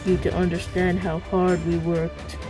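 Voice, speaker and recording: A woman's voice: on the low side, level tone, a little slow, music in the background, close to an everyday voice. A woman voicing a serious, methodical male chameleon detective in an amateur cartoon comic dub, explaining things earnestly. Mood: matter-of-fact.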